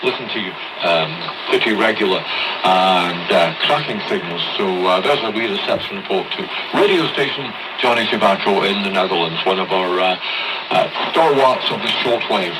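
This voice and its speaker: A Scottish man hosting a radio show with casual, halting, rambling chat over a shortwave broadcast. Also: sits low. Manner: halting, hesitant, conversational. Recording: noisy shortwave radio broadcast, distant sound.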